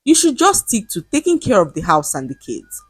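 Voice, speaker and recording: A woman's voice: mid-range voice, wildly swooping pitch, normal speed, slightly rough, dry recording, pitched above the performer's own voice. A woman voicing a jealous husband who mocks his wife with contempt. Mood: disdainful. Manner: mocking, contemptuous. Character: jealous scornful husband, adult man.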